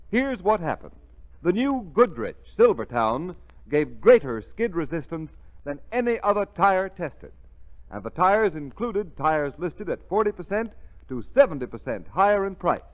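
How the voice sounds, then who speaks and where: A man announcing a 1930s radio advertisement in an emphatic, formal, salesmanlike way. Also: on the high side. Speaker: commercial announcer. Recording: muffled 1930s radio recording.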